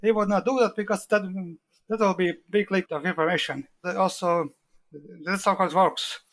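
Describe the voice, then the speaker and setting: A man with a notably high voice answers as an expert interview guest. English is not his first language, and he explains his points emphatically, repeating phrases for stress. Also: fairly even intonation, normal speed, a bit bright.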